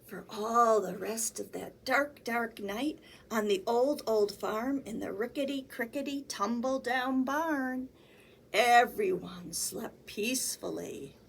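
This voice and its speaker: A woman's voice: fairly high pitch, slow, gravelly, slightly rough. A woman reading a children's picture book aloud as a storytime librarian, with theatrical, sing-song emphasis. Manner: theatrical, sing-song emphasis with acted animal sounds and sneezes.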